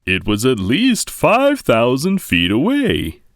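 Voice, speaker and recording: A man's voice: medium pitch, huge pitch swings, slow, dry recording, clean studio sound, pitched above the performer's own voice, full character voice. A man voicing a reassuring father bear who explains things patiently and emphatically in a children's story. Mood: reassuring, confident.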